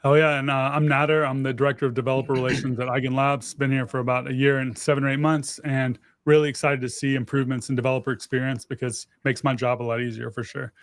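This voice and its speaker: A man's voice: mid-range voice, flat delivery, fast, few pauses, no echo. Guy hosting a tech stream, chatty and casual, dry close audio. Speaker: host, developer relations director.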